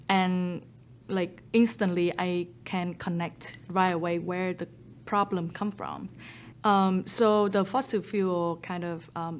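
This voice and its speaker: A woman in her thirties with a Vietnamese accent talks through a seated studio interview in a fairly low voice, earnest and steady, with plenty of ums and slips in her English grammar. A boom mic picks her up in a studio, with a faint hiss underneath. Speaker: startup founder. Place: photo studio with a blue backdrop, indoors. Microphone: boom mic overhead.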